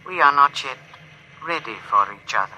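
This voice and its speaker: An actor playing a solemn, wistful alien envoy in formal, stilted phrases, filtered as if over a radio in an old radio drama. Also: male voice, medium pitch, expressive, normal speed, bright, forceful tone, slight background noise, low-quality recording. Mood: solemn, sad. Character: solemn, wistful alien envoy speaking through translation machine.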